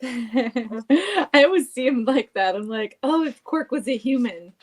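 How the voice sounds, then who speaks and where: A woman's voice: a little high, expressive, measured pace. A woman co-hosting a casual review, bantering playfully with giggly asides. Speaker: review show co-host.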